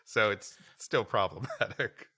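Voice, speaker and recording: A man's voice: on the low side, measured pace, sharp tone. A man co-hosting a live podcast, bantering and reading stories aloud in a mock-announcer manner. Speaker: podcast co-host.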